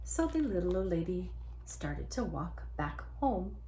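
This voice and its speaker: Animated woman reading to children. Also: fairly low pitch, slight background noise. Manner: animated, drawn-out sound words, asks listeners to join in. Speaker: teacher reading aloud to children. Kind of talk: interactive children's picture-book read-aloud.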